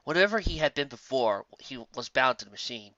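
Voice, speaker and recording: Bright, animated male story narrator. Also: medium pitch, fairly quick, dry recording, highs cut off. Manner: theatrical, emphatic. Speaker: narrator of fan fiction audiobook. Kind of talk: dramatic scripted story narration.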